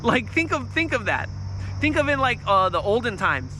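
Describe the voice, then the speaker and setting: Squeaky, nasal-voiced man in his thirties. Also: sing-song, normal speed, noisy background. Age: 30s. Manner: swears freely, restarts often, volume spikes. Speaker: vlogger on a livestream. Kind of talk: casual rambling storytelling.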